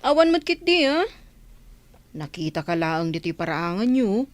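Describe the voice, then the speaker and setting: A woman's voice: medium pitch, big pitch swings, a little slow, no echo. An actress playing a teasing young village woman who mocks her friend in a comedy radio play. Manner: teasing, mocking.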